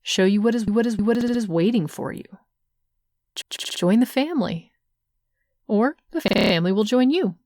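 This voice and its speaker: A woman playing a menacing cult-like voice that recruits listeners in a halting, scripted horror podcast announcement. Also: on the high side, lively intonation, darkish tone, a little hiss or hum. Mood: eager, menacing. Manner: sinister, halting and repeating words. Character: menacing female cult-like voice from the dark, hungry for recruits.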